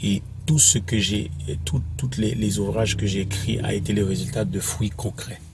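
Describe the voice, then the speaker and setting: A minister from Quebec, whose first language is English, answers interview questions in a halting way, with many restarts and fillers. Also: male voice, lowish voice, steady tone, background noise. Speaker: apostolic minister and author.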